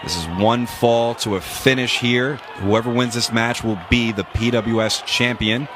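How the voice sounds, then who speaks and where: A man calling wrestling moves in quick succession as play-by-play commentator, with jokey asides. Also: mid-range voice, fairly even intonation, noisy background.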